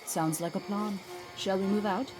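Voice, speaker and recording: A woman's voice: mid-range voice, steady tone, normal speed, music in the background, natural voice, low-quality recording. A woman playing a zealous, disdainful warrior of a goddess, speaking formally and haughtily in a fantasy audio drama. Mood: dry.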